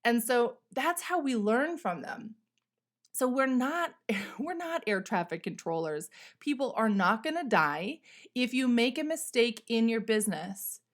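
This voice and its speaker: A woman hosting a solo podcast, talking casually and candidly in a conversational, emphatic way with some salty language. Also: fairly high pitch. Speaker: podcast host.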